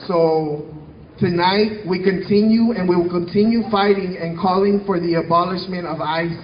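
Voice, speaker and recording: Young man from Mexico, high voice, impassioned rally speech, loudspeaker echo, dull live-stream sound. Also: fairly even intonation, moderate pace, a little hiss or hum. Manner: impassioned, emphatic repetition. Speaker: protest speaker. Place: outdoor protest rally.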